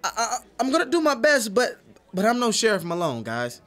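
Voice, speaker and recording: An actor playing a nervous, modest city lawyer made sheriff, stammering politely in a comic western audio drama. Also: male voice, sits high, huge pitch swings, fairly quick, a bit bright, faint music behind, exaggerated voice. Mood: earnest, flustered.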